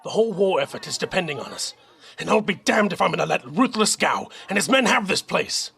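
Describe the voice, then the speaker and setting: A man playing a dutiful young army captain in an audio drama, earnest and measured. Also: high-pitched, sing-song, vocal fry, a bit bright, breathy edge, some chatter behind, heavily acted. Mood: firm, resolute.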